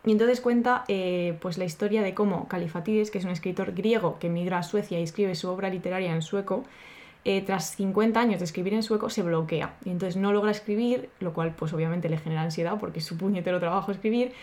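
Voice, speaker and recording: Woman co-host, mid-pitched, loose and chatty. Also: fast talker. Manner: lively, informal, swears casually. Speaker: podcast co-host. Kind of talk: casual podcast chat.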